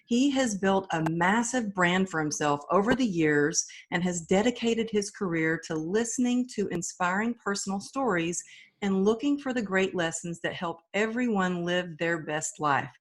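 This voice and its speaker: A woman's voice: medium pitch, moderate pace. A woman hosting a podcast interview, welcoming her guest with enthusiastic, effusive praise. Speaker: podcast host.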